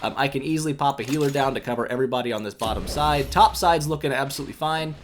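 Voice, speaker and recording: Stuffy-nosed guy rambling thru a phone game, lots of ums, middle pitch, lil background hiss. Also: fast talker. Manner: relaxed, rambling, many "um"s, self-mocking asides. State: congested from a cold. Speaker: gaming YouTuber. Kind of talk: casual gameplay commentary.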